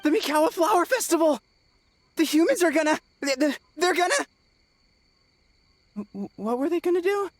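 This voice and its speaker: A woman voicing a scheming, excitable little tanuki ringleader in a video game, urgent and stumbling over words. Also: extremely high pitch, moderate pace, pauses often, big-room sound. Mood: flustered.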